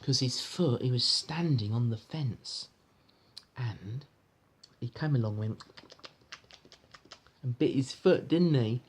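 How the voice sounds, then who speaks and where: A man's voice: medium pitch, takes it slowly, long pauses. A man reading a storybook aloud to a child, chatting in a coaxing way with frequent little questions. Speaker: parent reading a bedtime-style story to a child.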